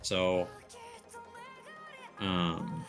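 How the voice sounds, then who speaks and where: Male streamer, slightly deep voice, casual hesitant gameplay explainer over faint music. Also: level tone, drawn-out words. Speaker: video game live streamer. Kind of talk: casual livestream gameplay commentary, explaining to chat. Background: music behind the voice.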